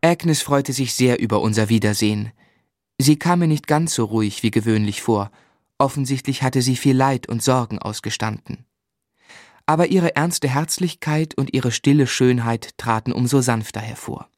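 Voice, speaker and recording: An actor playing a gentleman who narrates his own life story in a radio play, in a measured literary manner. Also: medium pitch, dry recording, clean studio sound, natural voice. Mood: reflective.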